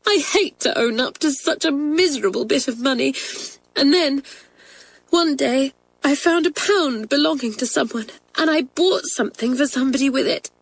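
Casting: distraught schoolgirl played by a woman, very high voice, tearful, ashamed and defiant, storybook reading. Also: normal speed, vocal fry, dry recording, low-quality recording. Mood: confessing, distressed. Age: young girl. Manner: tearful, pleading, overwrought. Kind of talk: children's storybook character voice.

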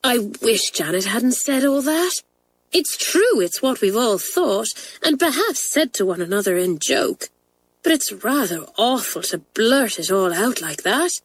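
A woman's voice: fairly high pitch, very animated, measured pace, husky, pitched above the performer's own voice, exaggerated voice, rough recording. A woman reading a children's storybook, voicing a frank, chatty schoolgirl with a breezy, emphatic manner. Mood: concerned. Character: frank, chatty schoolgirl twin, candid and exclamatory.

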